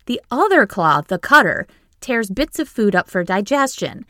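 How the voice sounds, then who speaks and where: A woman with a Maine accent hosts her podcast, reading a script with a playful, joking lilt. Her voice is mid-pitched and recorded close and dry, with no room echo. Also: sing-song, normal speed, bright, forceful tone. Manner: playful, jokey asides. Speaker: podcast host. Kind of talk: scripted comic narration.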